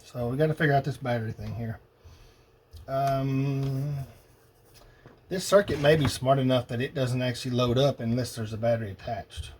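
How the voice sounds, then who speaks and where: A man thinking aloud in a halting, casual way as he tests electronics at a workbench. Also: mid-range voice, darkish tone. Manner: muttering, halting, pondering to himself. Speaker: hobbyist demonstrating electronics testing. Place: indoor cluttered electronics workbench. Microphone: old phone used as camera above bench. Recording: noisy phone-camera workshop recording.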